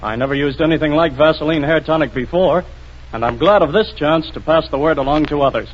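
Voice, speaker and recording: A man's voice: fairly high pitch, fairly quick. A man giving a scripted testimonial in the style of a 1940s radio advertisement. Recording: dull, noisy vintage radio recording.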